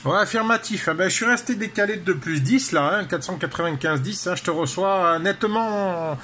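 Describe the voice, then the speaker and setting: A man's voice: sits high, normal speed, sharp tone. A man talking casually and technically as an amateur radio operator, in a hesitant, rambling way full of repeated tag words. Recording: clear background noise.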